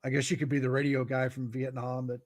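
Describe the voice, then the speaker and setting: A middle-aged American man giving informal explanations in a halting way, as if thinking aloud. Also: mid-range voice, little pitch movement. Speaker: guest radio expert.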